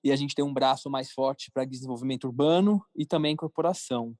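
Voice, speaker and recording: A man's voice: medium pitch, fast, darkish tone, no echo, studio quality. A man with a Brazilian accent answering interview questions in an informal, explanatory conversational way. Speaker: podcast guest, cartoon creator and entrepreneur.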